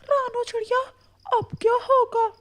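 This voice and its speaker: A woman voicing a kindly, anxious male parrot in an animated children's story, earnest and pleading. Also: extremely high pitch, unhurried. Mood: frightened.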